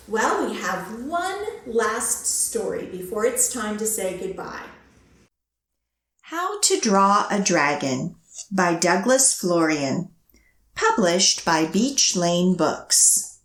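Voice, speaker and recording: Expressive woman reading slowly to children. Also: mid-range voice, echoey room. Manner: expressive, measured, clearly phrased sing-song delivery. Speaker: children's librarian leading storytime. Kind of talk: read-aloud picture book storytelling and action rhymes for children.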